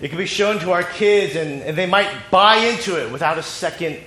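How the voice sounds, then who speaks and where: A man preaching a sermon in short phrases, pausing for an interpreter, while battling a cold. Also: high-pitched, sharp tone. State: has a cold. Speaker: pastor. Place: church sanctuary. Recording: echoey church hall with faint ambience.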